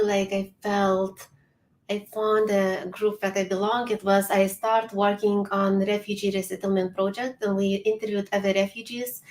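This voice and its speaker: A woman's voice: medium pitch, reverberant. A woman from Lithuania with a Lithuanian accent, a native Lithuanian speaker sharing her story as a speaker in an online panel chat, earnest and sometimes searching for words. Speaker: summit speaker. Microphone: video call.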